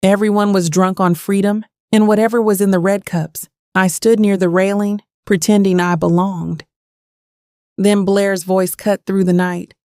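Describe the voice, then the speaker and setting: A haunted thirty-something woman played by an actress tells her own story of old wounds. Her voice sits at a middling pitch with a gravelly fry, recorded close and dry. She is bitter and brooding, in the manner of an audio thriller. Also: level tone, measured pace, a little mellow, no echo, natural voice. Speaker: narrator and lead of an audio drama. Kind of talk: first-person dramatic scripted narration.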